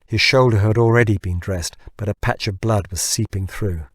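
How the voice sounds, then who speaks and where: Male audiobook narrator needed: low, husky voice, scripted fiction read in a very dry setting. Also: normal speed, no echo.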